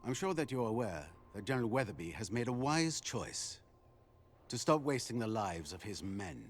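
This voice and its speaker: An animated, courteous field marshal. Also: male voice, on the low side. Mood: courteous, knowing. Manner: formal, measured courtesy. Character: courteous, grave German field marshal, honorable adversary. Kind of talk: scripted game cutscene drama.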